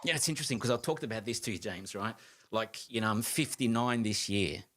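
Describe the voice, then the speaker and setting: A man in his late fifties co-hosting a casual conversation, talking in an animated, emphatic way with frequent restarts and the odd casual swear word. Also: fairly low pitch, a little fast. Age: 50s. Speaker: co-host of a live conversation show.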